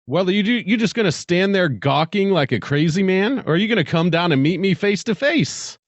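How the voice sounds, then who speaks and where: Man voicing a brash, higher-pitched space pilot stranger. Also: expressive, moderate pace, dry recording. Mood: brash, teasing. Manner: urgent, informal, teasing. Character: brisk, cheeky mysterious male spaceship pilot stranger. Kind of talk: dramatic short-story reading.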